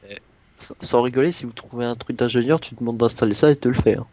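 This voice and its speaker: A man acting as game master of a role-playing game, explaining the scenario haltingly. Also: medium pitch, a little mellow, no echo, highs cut off.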